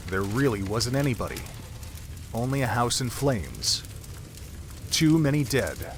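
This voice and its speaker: A man's voice: medium pitch, a little hiss or hum. A man giving solemn, literary scripted narration for an audio drama.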